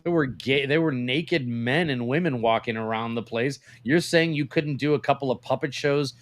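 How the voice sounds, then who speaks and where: A man's voice: medium pitch, moderate pace. A man co-hosting a podcast in casual, bantering chat, crude and joking, often questioning his partner. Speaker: podcast co-host.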